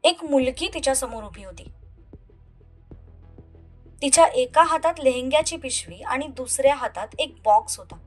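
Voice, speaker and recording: A woman's voice: high-pitched, animated, normal speed, long pauses. A woman narrating a scripted, dramatic love story. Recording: music under the voice. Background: soft background music.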